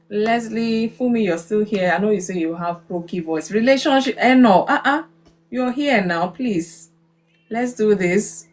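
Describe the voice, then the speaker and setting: A woman with a Nigerian accent hosting a casual live group chat in a playful, coaxing, encouraging way. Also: medium pitch. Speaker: live stream host.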